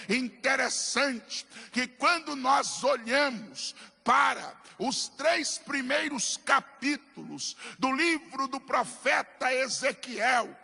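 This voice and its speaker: A Brazilian man preaching a fervent Pentecostal sermon as pastor, declamatory and emphatic with dramatic repetition, in a raspy, strained voice. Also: very high-pitched, sharp tone. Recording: echoey hall, long reverb, music behind.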